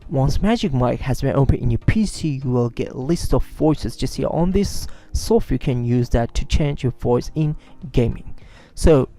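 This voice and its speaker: A man hosting a software tutorial on a USB microphone, explaining step by step in a quick, slightly halting way. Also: medium pitch, animated. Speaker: tech tutorial host.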